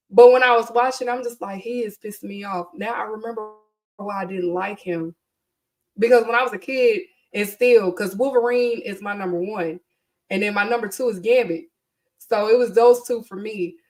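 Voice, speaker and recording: A woman's voice: mid-range voice, low-quality recording. A woman in her thirties hosting a podcast in casual solo chat, rambling with lots of ums and asides, her voice a bit congested from allergies. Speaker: podcast host.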